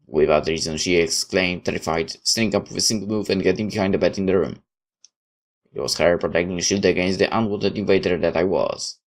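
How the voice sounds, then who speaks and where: A man reading a first-person story aloud in a dramatic, slightly halting, expressive way. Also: deep voice. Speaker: video game player reading a visual novel aloud.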